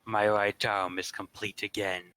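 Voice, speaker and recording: A man reading the story narration in a plain, steady way. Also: lowish voice, unhurried, clear, resonant tone, no room sound.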